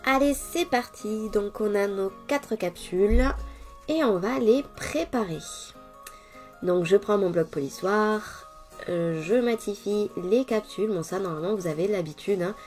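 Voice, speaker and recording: A French woman with a stuffy head cold, chatting lively and directly to viewers while showing a nail tutorial. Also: medium pitch, a little slow, faint music behind. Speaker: nail technician. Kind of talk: casual tutorial vlog.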